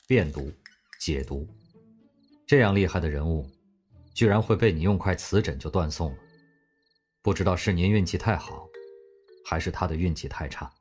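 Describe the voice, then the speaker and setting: A man doing an unreadable blind young swordsman from a radio drama, deep and quiet, with every word level and cut short. No feeling leaks into the voice at all. Faint music sits behind him. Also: breathy edge. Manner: flat, clipped, emotionless. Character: blind young male guard, cold and emotionless. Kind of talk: audio drama acting.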